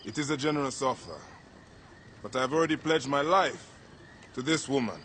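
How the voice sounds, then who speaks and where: A man's voice: medium pitch, a little fast, fairly bright tone, slight background noise, natural voice. An actor playing a vengeful warrior and grieving father, blunt, terse and guarded, in a video game cutscene. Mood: firm, guarded.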